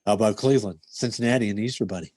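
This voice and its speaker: A man playing a deadpan, clipped police detective narrating his case in a 1950s radio parody style. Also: on the low side, rapid-fire, slightly rough, no room sound.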